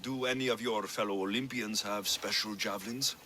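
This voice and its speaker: A man's voice: fairly low pitch, steady tone, fairly quick, pushed and bright, a little hiss or hum. An actor playing a confident, wry male mercenary adventurer in a video game, with a firm delivery. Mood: wry, businesslike. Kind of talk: video game dialogue acting.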